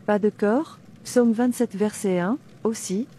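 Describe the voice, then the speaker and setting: A woman playing a devout, fearless captive in an animated drama, reciting scripture in a declamatory way. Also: mid-range voice, slow pace, a little hiss or hum, lightly acted. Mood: defiant, devout. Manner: declamatory, reciting verses with references.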